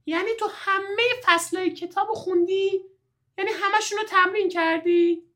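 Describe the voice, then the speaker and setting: A man's voice: very high-pitched, big pitch swings, pitched above the performer's own voice. A man voicing an earnest, studious schoolboy in a read-aloud story, polite and easily surprised.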